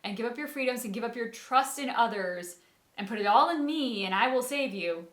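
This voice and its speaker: A woman's voice: fairly high pitch, animated, a little fast, fairly bright tone. A woman co-hosting a casual reaction show, musing aloud and giving emphatic opinions on a story. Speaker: co-host of a game reaction show. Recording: echoey room.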